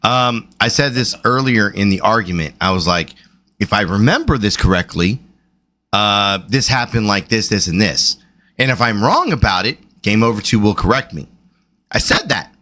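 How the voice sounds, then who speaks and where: A man co-hosting a livestream talks in a rambling, profane way about feeling old. His low voice is going hoarse and still carries a bright, forceful edge. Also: big pitch swings, normal speed. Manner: rambling, profane, self-deprecating. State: losing his voice. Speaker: livestream co-host. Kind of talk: casual chat.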